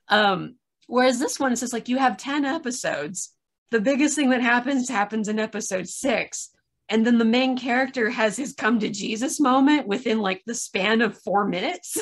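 Wry, animated woman chatting casually. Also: a little high. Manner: animated storytelling with acted-out asides and wry exasperation. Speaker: podcast reviewer in a video chat. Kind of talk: casual chat, opinionated recounting. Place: small room at home, on a video call.

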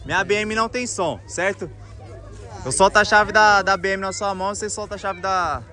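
A man's voice: high voice, normal speed. A young man with a São Paulo accent chatting in hyped, slangy style for a casual outdoor vlog. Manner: excitable, slangy. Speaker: kite channel vlogger. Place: outdoors at a crowded kite festival. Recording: outdoor crowd noise around the voice.

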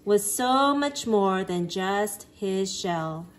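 A woman's voice: mid-range voice, expressive, drawn-out words. A woman reading a children's picture book aloud expressively, acting out exclamations and sound effects. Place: living room at home.

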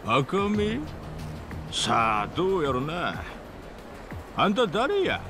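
A man's voice: fairly high pitch, huge pitch swings, slow pace, over music, heavily acted. An actor playing a teasing, easygoing, nosy male street local with a Kansai accent in a video game. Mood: suspicious, amused. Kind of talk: video game drama acting.

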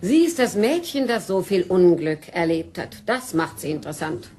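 Low-voiced, teasing worldly woman. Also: sing-song, moderate pace, dark tone. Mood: mocking, amused. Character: worldly, teasing Parisian woman, flippant and cool. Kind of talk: radio drama acting.

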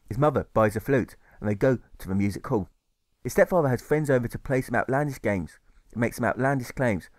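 A man's voice: mid-range voice, no echo. A man narrating a rhyming novel as an author reading his own audiobook, with rhythmic, sing-song lists.

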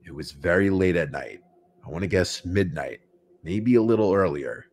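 A man narrating a scripted first-person scary story in a measured, unhurried way. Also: deep voice, lively intonation, a little mellow, a little hiss or hum. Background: music behind the voice.

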